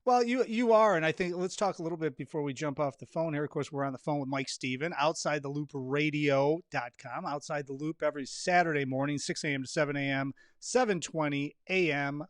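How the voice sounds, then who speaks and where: A man with a slightly higher-pitched voice hosts a podcast in loose, casual conversation. He is talkative and emphatic, often doubling back on phrases to stress them, and the sound around his voice is very dry. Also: fairly quick, few pauses, no room sound. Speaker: podcast host. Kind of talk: casual interview chat.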